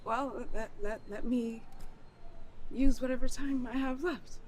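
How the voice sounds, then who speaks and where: A woman performing an emotional stage monologue as a bitter, overwhelmed adult child confronting an absent father's deathbed, sarcastic and halting. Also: fairly high pitch, fairly even intonation, a little mellow, a little hiss or hum, pitched above the performer's own voice, close to an everyday voice. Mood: subdued, resigned. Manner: sarcastic, wounded, halting between outbursts.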